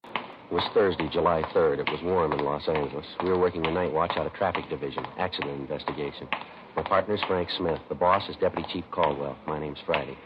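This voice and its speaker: Clipped 1950s radio cop. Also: male voice, a bass voice, steady tone. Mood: flat. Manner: brisk, matter-of-fact. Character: clipped male 1950s police detective. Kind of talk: 1950s radio police drama. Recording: noisy, dull old radio recording.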